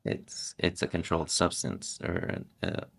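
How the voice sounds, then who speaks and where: A middle-aged man talking casually as a guest on his wife's podcast, relaxed and halting, with many restarts. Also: low-pitched, vocal fry, breathy edge. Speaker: podcast guest, host's husband. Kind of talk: casual interview talk.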